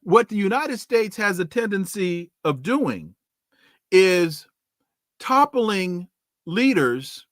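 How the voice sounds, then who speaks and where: A male podcast host speaks with emphasis, firing off rhetorical questions and folksy sayings. His voice sits a little high for a man. The recording is dry and close, with no room sound. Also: a bit bright, no room sound. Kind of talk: news commentary and interview opening.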